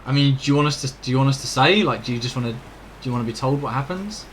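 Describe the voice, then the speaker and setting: A British man talks off the cuff to his viewers as he streams a game. His mid-pitched voice is informal and rambling, and a little game ambience sits faintly behind it. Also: lively intonation, rapid-fire, a little hiss or hum. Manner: informal, rambling, mild swearing. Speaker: video game live streamer. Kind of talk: casual chat to viewers during gameplay.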